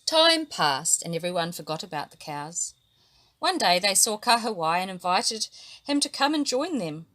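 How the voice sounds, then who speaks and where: A woman from New Zealand reading a children's story aloud with animated, lively storytelling. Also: mid-range voice, moderate pace. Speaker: storybook reader. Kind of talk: expressive children's story narration.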